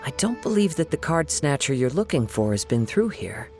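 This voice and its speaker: A man voicing a gentle, poetic young male wanderer in a video game, soft, calm and politely measured. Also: fairly high pitch, loud music behind the voice. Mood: calm, reflective. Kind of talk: animated video game voice acting.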